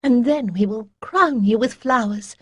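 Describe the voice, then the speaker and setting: A woman voicing a gentle, earnest young priestess, speaking slowly and carefully in a raised voice. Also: on the high side, breathy edge, no room sound, studio quality, pitched above the performer's own voice.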